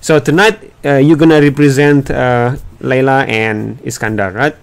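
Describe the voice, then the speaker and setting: A man's voice: mid-range voice, fairly even intonation, moderate pace, clear, resonant tone. A middle-aged man in a casual read-aloud chat with children, encouraging them, repeating things and prompting with questions. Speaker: host and parent reading with children. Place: small bedroom, indoors. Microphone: desktop condenser microphone.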